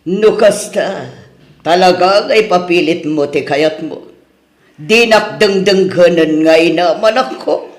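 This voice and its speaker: A woman's voice: fairly low pitch, slow. A woman playing a protective, reluctant older mother in an Ilocano radio drama, with an Ilocano accent. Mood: pleading, disapproving. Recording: roomy echo.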